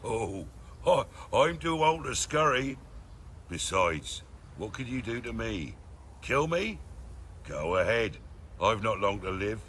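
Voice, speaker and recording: A weary old british peasant, lowish voice, swoops up and down, folksy game villager. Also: male voice, very animated, slow pace, fairly bright tone, slight background noise, heavily acted. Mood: wry, resigned. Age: 70s. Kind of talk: video game voice acting.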